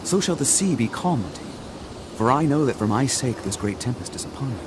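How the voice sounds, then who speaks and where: A man playing a Hebrew prophet, solemn and fervent, in a measured dramatised scripture reading. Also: medium pitch, expressive, noisy background, close to an everyday voice. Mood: solemn, resigned.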